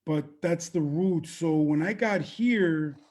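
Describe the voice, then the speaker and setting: Casting: male interview guest, fifties, dark husky timbre, measured halting delivery in short phrases. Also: fairly high pitch. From born in Colombia, raised in the US. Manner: halting, pausing often between short phrases. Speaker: interview guest, veteran event producer. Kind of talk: interview answers about own background.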